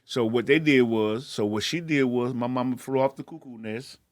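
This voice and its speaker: A man's voice: medium pitch, fairly even intonation, normal speed, no echo. A man with a stammer telling personal stories in casual conversation, speaking plainly in everyday vernacular. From grew up in Baltimore, then Houston. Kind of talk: casual storytelling.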